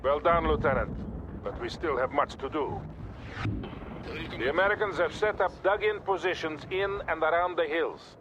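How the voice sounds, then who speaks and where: An actor playing a stern, weary Soviet colonel in a video game, giving clipped orders with a Russian accent. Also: male voice, on the high side, normal speed, lo-fi sound, close to an everyday voice. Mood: firm, commanding. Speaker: military commander in a game. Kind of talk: scripted video game cutscene acting. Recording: loud battlefield noise behind.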